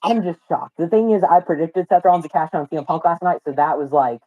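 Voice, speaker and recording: A young man hosting a live watch party stream, talking excitedly with lots of slang and exclamations, his voice hoarse and cracking from a sore throat. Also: fairly high pitch, fast, narrow, tinny audio. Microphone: phone.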